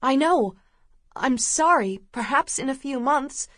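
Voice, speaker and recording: A woman voicing an anxious young woman close to panic in an audiobook, with a pleading, breathy manner. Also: sits high, lively intonation, a little slow, fairly bright tone, no echo, pitched above the performer's own voice. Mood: apologetic.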